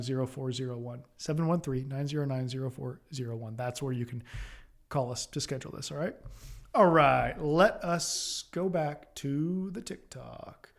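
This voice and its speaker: An American man from Oklahoma giving casual, direct advice to live-stream viewers as a lawyer, reassuring and repeating phrases for emphasis. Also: medium pitch. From Oklahoma, United States. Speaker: immigration lawyer hosting a live Q&A.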